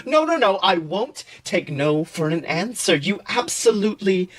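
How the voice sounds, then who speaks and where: Insistent young male club leader, acted by a man, theatrical and gushing, raised pitch, story reading. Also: sing-song, normal speed, a bit bright, exaggerated voice. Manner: gushing, dramatic.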